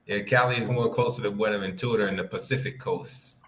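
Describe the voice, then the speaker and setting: A man chatting casually as a guest, matter-of-fact and a little halting. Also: fairly low pitch, fairly even intonation, a bit bright. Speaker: podcast guest. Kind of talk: casual conversation. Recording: clicky, noisy remote connection.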